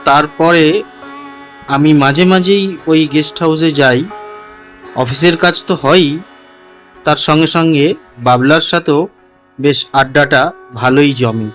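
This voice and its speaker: A man's voice: on the high side, takes it slowly, pauses often, fairly bright tone, faint music behind. A man narrating a scripted first-person story for a cartoon as its storyteller.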